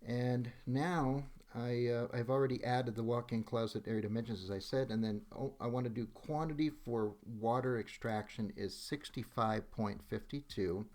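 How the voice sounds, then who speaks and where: Man narrating a step-by-step software demo. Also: medium pitch. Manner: instructional, with frequent okay check-ins. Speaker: software demonstrator. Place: voice-over on a screen recording.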